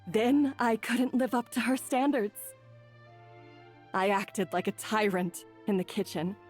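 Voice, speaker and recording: A self-doubting head chef who speaks slowly and haltingly, stumbling over her apologies. Her voice sits a little high. Soft game music plays behind her. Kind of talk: video game voice acting.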